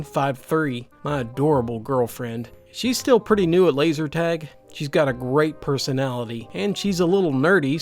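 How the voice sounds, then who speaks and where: A man narrating online stories in a lively, animated reading. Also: a little high. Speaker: storyteller.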